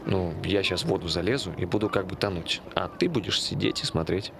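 Sardonic needling friend, lowish voice, laconic casual delivery, naturalistic stage drama, noticeable background noise. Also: male voice, brisk. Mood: curious, provoking. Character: dry, sardonic, provoking friend of a troubled man. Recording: clear ambient background noise.

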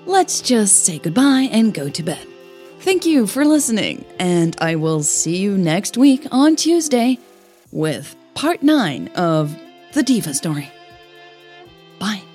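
A woman with a soft, breathy voice, a podcast host who narrates her own audio fiction, over music. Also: mid-range voice, sing-song, slow. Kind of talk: scripted story narration with casual host outro. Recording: music bed under the voice.

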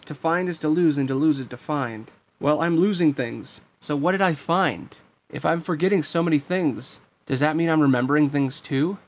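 Man doing a plucky boy hero, raised pitch, earnest, emphatic, storybook adventure. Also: natural voice. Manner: emphatic, eager.

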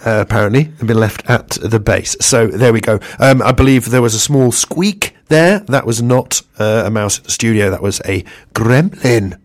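A hoarse man who has lost his voice, hosting a casual radio chat show with a self-mocking, jokey manner. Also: medium pitch, expressive, moderate pace, hardly any pauses.

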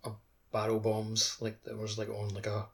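Male podcaster, fairly low pitch, casual, halting, filler-heavy loose delivery. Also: monotone, creaky. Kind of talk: casual chat.

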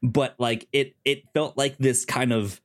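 A man co-hosting a casual podcast, speaking haltingly and repeating words and syllables as he goes. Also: mid-range voice, steady tone. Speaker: podcast co-host.

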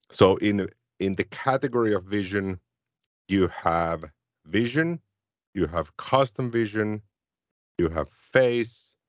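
A man whose first language is Finnish, co-hosting a podcast and explaining cloud services in a relaxed, chatty way. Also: low voice, no echo, dull audio. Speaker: podcast co-host. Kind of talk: casual tech chat.

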